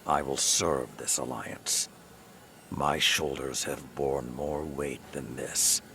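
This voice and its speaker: An actor playing a grave, honor-bound old warrior and mentor in a video game, gravelly, solemn and measured. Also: male voice, rumbling low, fairly even intonation, slight background noise, exaggerated voice. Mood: solemn resolve. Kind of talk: dramatic video game cutscene acting. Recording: loud music under the voice. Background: music.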